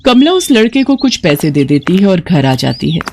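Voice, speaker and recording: A woman's voice: lowish voice, sing-song, moderate pace, darkish tone, a little hiss or hum. A woman reading scripted narration for a cartoon bedtime story as the storyteller.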